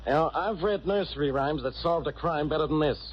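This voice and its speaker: An actor playing a skeptical, blustery police inspector with a sarcastic, impatient manner, in 1940s radio drama style. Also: male voice, a little high, a little fast, lightly acted. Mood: grudging, sarcastic. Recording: noisy, muffled old radio recording.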